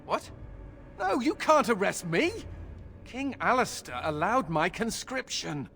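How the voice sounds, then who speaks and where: An actor playing a flippant, rebellious young mage in a fantasy game, with a wry, informal and expressive delivery. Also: male voice, sits high, slow pace, vocal fry, soft background music, heavily acted. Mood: alarmed protest. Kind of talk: fantasy game dialogue acting.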